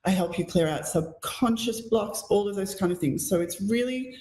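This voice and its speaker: A woman hosting a live psychic radio show, slightly croaky from being sick, talking in a gentle, flowing, spiritual way. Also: medium pitch, normal speed, a little hiss or hum. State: croaky from illness. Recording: echoey room.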